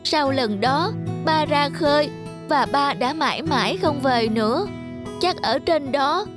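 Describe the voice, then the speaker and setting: A very high, childlike voice plays an earnest young friend in a children's cartoon. The phrasing is simple and sincere, like a gentle moral tale, with clearly audible music underneath. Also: female voice, expressive, unhurried. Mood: sad, wistful. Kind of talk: Vietnamese children's cartoon dubbing.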